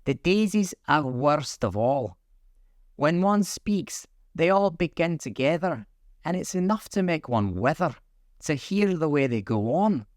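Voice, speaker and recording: A man's voice: a little high, unhurried, no room sound, studio quality, pitched above the performer's own voice. A man voicing a passionate, bossy female tiger lily in a storybook reading. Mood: imperious. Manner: imperious, excitable.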